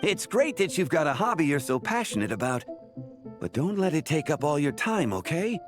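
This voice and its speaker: A man's voice: a little high, big pitch swings, moderate pace. A man acting as an easygoing, nagging older brother in a video game, talking in a casual, awkwardly friendly way. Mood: gently nagging. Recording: background music under the dialogue.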